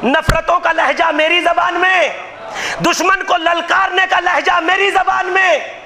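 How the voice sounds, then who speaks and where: A man delivering a Muharram majlis sermon in impassioned oratory, shouting many lines. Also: extremely high pitch, level tone, a little slow. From Gujarat, India. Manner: impassioned, declaiming, often shouting rhetorical lines. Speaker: maulana preaching a majlis. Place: religious gathering hall.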